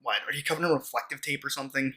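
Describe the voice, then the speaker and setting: A man's voice: medium pitch, rapid-fire, pushed and bright, husky. A man playing a frightened but defiant skeptic in a horror audio drama, agitated and confrontational. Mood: startled, defiant. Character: frightened, defiant, foul-mouthed male skeptic cornered by a stranger.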